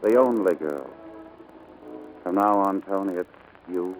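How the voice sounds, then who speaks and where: Low-voiced, ardent pilot sweetheart. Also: male voice, unhurried, rough recording. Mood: eager, loving. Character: devoted male pilot sweetheart, earnest and ardent. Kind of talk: 1940s radio drama acting. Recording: vintage 1940s radio recording, faint music.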